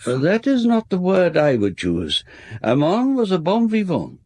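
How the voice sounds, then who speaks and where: Old, circumspect family lawyer for radio drama: raspy, lively intonation, stammering, politely formal. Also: male voice, sits high, measured pace, heavily acted. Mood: discreet, loyal. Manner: hesitant, stammering, formal phrasing. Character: courteous, wistful, circumspect old male solicitor. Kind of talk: British radio drama acting.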